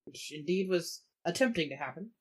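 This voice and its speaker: A woman's voice: fairly low pitch, animated, fairly quick, breathy edge. A woman playing a weary, awkward female adventurer in a tabletop game, hesitant and understated. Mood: pained, subdued.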